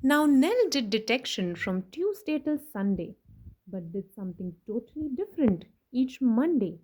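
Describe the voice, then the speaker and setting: A woman doing an expressive children's picture book read-aloud, playful in her emphasis, with chatty asides to her young listeners. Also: fairly high pitch, normal speed, breathy edge, poor audio. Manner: animated, sing-song rhyme reading with playful emphasis. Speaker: children's story reader and host.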